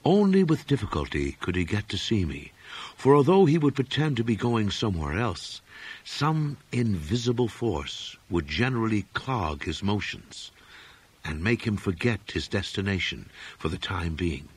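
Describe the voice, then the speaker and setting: A man reads gothic horror with a husky edge to his voice. His pitch rises and falls widely as he gives a measured, literary narration. The delivery is dramatic and expressive, suited to an old tale of dread. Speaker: audiobook narrator.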